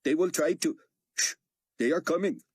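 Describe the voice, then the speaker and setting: A man's voice: a little high, moderate pace, husky, no echo, studio quality, voice pushed up. A man narrating an audiobook voices a deferential male flight steward who is overly polite and apologetic. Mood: polite, anxious.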